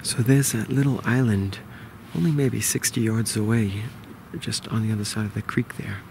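A man in his forties with a New York accent, quietly explaining a hunt to a close-held camera outdoors in the woods. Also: steady tone, moderate pace, vocal fry, a little hiss or hum. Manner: quiet, low-key explaining. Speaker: bowhunter. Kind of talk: hushed outdoor hunting vlog. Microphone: camera held close to face.

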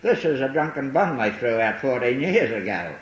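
A man's voice: mid-range voice, sing-song, moderate pace, lo-fi sound. An older man with a Liverpool accent, giving a rambling, self-mocking personal testimony at a recovery meeting. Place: large hall. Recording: echoey hall, faint hiss on old tape.

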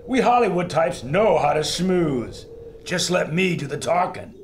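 An actor playing a breezy, cocky Hollywood filmmaker in an audio adventure drama, glib and wisecracking. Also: male voice, on the high side, animated, unhurried, a little hiss or hum.

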